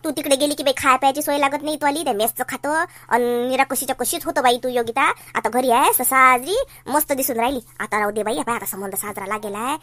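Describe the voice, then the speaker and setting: Woman as a nagging, bright-voiced Varhadi Marathi mother. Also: sits high, fast talker, no echo. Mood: chiding, coaxing. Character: fretful, nagging, doting middle-aged village mother. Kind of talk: cartoon comedy dialogue.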